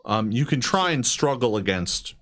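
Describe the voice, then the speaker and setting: Male game master, medium pitch, casual improvised narration, pauses as he thinks. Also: big pitch swings, fairly bright tone.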